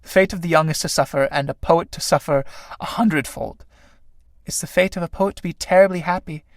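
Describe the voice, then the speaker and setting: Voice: mid-range voice, brisk, no room sound, clean studio sound, natural voice. A performer reading a play, voicing an earnest, hesitant young male poet butterfly who stammers.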